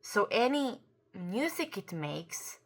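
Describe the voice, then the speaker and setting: A woman's voice: mid-range voice, very animated, slow. A native Croatian-speaking woman giving an earnest opinion commentary to camera. She often searches for words and corrects herself as she goes. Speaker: YouTube commentator.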